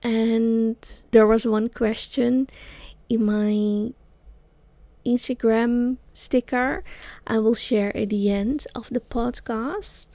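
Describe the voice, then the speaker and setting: A Dutch woman with a Dutch accent, a non-native English speaker hosting her own solo podcast, speaking in slow, searching phrases with frequent fillers. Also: on the high side, steady tone, dark tone, no echo. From Netherlands. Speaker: podcast host, sound bath guide. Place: small studio.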